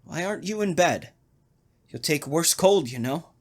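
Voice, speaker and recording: An actor playing a controlling, falsely solicitous husband in a suspense drama, commanding in manner. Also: male voice, a little high, animated, moderate pace, noisy background, close to an everyday voice. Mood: coolly solicitous. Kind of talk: old-time radio style suspense drama.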